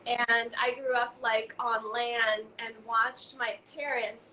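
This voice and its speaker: A young woman from Hungary talks as a guest in a relaxed chat. Her voice sits a little high and sounds bright. She stops and restarts often and fills gaps with "um" and "like", and a faint hiss sits behind her. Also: low-quality recording. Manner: halting, many fillers and restarts. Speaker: guest, raw food author. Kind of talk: casual conversation.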